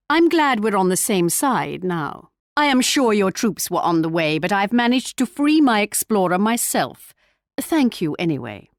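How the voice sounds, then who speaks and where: A woman's voice: medium pitch, dry recording. A regal British queen from a strategy game, speaks with formal poise and proud, gracious courtesy. Her delivery swoops expressively through the lines. The recording is dry and close, with no room around it. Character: regal English queen, gracious, proud, politely cutting war ally.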